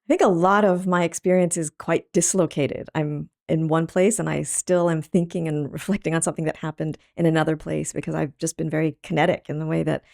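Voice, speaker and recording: A middle-aged woman who grew up in Thailand, an artist talking through her story in a thoughtful, halting way with many pauses and ums. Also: low voice, fairly even intonation, creaky, darkish tone. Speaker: artist guest. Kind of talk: reflective interview talk.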